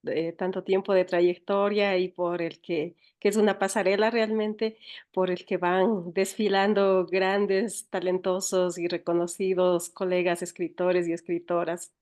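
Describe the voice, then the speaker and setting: Ecuadorian woman, medium pitch, conversational storyteller. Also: darkish tone. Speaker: interview guest, writer and teacher.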